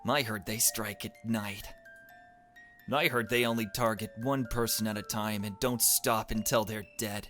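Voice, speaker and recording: A man's voice: fairly low pitch, steady tone, a little slow, bright, forceful tone, faint music behind. A man reading a visual novel aloud as a gaming host, with joking, irreverent asides. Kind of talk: let's play, dramatic reading mixed with joking commentary.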